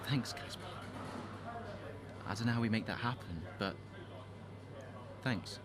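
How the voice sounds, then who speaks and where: An actor playing a young racing driver in a video game, reserved, polite and sincere, speaking in short, restrained replies. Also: male voice, lowish voice, unhurried, a crowd in the background, natural voice. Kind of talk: naturalistic video game cutscene acting.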